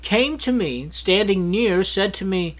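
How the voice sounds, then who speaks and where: Male Bible reader, notably high voice, expressive scripted narration. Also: unhurried.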